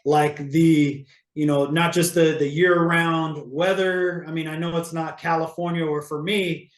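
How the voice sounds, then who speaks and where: A man's voice: a little high, little pitch movement, measured pace, echoey room. A man from Hawaii, a real estate agent, talking casually as an interview guest, with many fillers and restarts.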